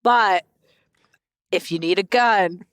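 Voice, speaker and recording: A woman's voice: mid-range voice, slow, a bit bright, clean tone, no room sound, studio quality. A woman podcast co-host talking in a casual, comedic chat among friends.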